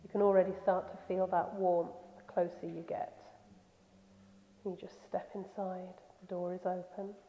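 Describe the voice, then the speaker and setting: A woman leading a guided meditation in a soothing, gentle, unhurried manner with pauses. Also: lowish voice, level tone, slight background noise. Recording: echoey long reverb, slightly dull sound.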